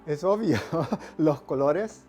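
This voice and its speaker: A man's voice: a little high, lively intonation, slow, mellow, faint music behind. A middle-aged man, an architect, reflecting in an interview with many fillers and a touch of English. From El Salvador.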